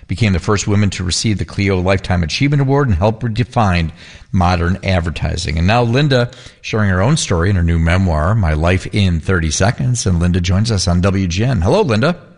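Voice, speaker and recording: Low-pitched male radio host, easygoing and chatty, quick to laugh. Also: vocal fry, a little mellow. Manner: friendly, chatty, laughs easily. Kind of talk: radio interview.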